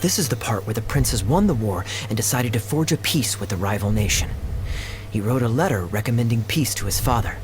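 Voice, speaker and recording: An actor voicing a calm, courteous, learned man in a video game, speaking in a measured, articulate way. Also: mid-range voice, natural voice. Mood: calm, explanatory. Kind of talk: storytelling video-game dialogue. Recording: noisy background ambience behind game dialogue.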